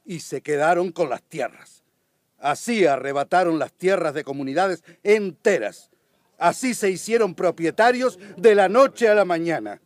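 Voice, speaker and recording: An actor playing a righteous prosecutor who accuses in a declamatory, emphatic way in a radio drama. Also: male voice, sits high, animated, unhurried, dry recording, clean studio sound. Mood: accusing. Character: righteous, impassioned prosecutor accusing landowners for the poor. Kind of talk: radio drama courtroom oratory.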